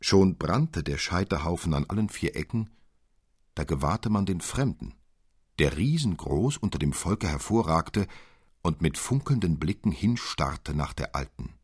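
Deep, husky male storyteller. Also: dry recording, studio quality. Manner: deliberate, expressive, old-fashioned storytelling. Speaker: audiobook narrator. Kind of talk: dramatic literary story narration.

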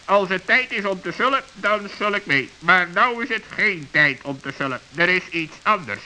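A stern bird speaks in a high, extremely sharp, forceful voice. The manner is sensible and questioning, as in an old children's radio play. A light hiss runs under it. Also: male voice, animated, moderate pace, heavily acted, low-quality recording. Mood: serious. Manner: stern, questioning, matter-of-fact. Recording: hissy old radio recording.

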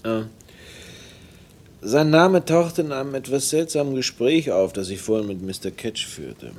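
An actor playing a composed, polite investigator figure in a German radio play, dry and understated. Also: male voice, medium pitch, animated, measured pace, darkish tone. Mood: inquiring.

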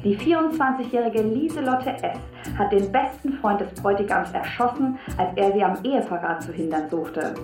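An actress playing a sensational tabloid reporter, declaiming a press report on village gossip in a radio drama. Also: female voice, mid-range voice, expressive, normal speed, hardly any pauses, a little mellow, close to an everyday voice. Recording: roomy echo, music underneath.

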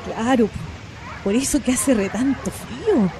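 An actress performs a poor Chilean migrant mother in a radio drama. Her somewhat high voice is weary and complaining, with colloquial turns of phrase. Noise is clearly heard behind her. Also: unhurried. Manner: lamenting, colloquial. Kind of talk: Spanish-language radio drama acting. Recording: noisy track with outdoor ambience, barking dogs.

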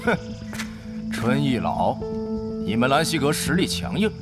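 An actor playing a hot-headed, defiant guild leader in a Chinese audio drama, confrontational and declamatory. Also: male voice, medium pitch, sing-song, a little slow, over loud music. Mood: indignant, defiant. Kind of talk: Chinese audio drama acting of an online game story.

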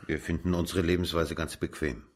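Very deep, husky, proud host. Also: male voice, fairly even intonation, a little fast. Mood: courteous, reserved. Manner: formal, ceremonious, terse. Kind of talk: radio drama acting.

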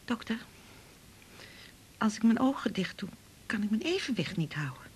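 A woman's voice: on the high side, very animated, normal speed, slight background noise. An actress playing a timid, devout married woman at the doctor's in a radio drama, anxious, hesitant and half-whispering. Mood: confiding, worried. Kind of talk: naturalistic 1980s radio drama acting.

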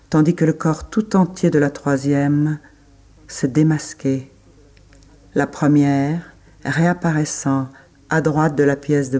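A man reciting literary prose for a radio play, measured, pausing between short phrases. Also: fairly high pitch, mellow. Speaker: reader.

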